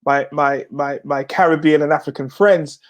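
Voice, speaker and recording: A man's voice: fairly high pitch, fairly even intonation, moderate pace. A middle-aged man from Jamaica hosting a casual talk show, often repeating words as he starts sentences and turning phrases into slogans. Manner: stammering repeats, laughs often, emphatic slogans. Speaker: host. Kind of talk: casual chat.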